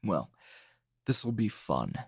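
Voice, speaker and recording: A man playing a tired, gruff, dryly teasing hero schoolteacher in an ASMR roleplay, speaking low and gravelly in a halting, understated way. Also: very animated, no room sound, studio quality, exaggerated voice. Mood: teasing, smug. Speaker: voice actor.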